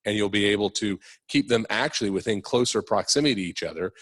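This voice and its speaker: Low-voiced man explaining on a panel. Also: quick pace, fairly bright tone, no room sound. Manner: earnest, repeats himself while explaining. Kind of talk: panel discussion.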